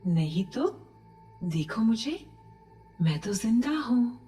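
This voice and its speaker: A woman playing a dead mother's ghost in a horror audio drama, gently coaxing and pleading with her young son. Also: fairly high pitch, sing-song, recorded from a distance, faint music behind. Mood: tender, coaxing. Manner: gentle, slow, pleading persuasion.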